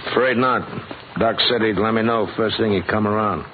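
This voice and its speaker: A man's voice: lowish voice, normal speed, close to an everyday voice. A man playing a guarded, terse sheriff in a 1950s radio western, with an American accent and a clipped, reluctant manner. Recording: tinny, noisy 1950s radio recording.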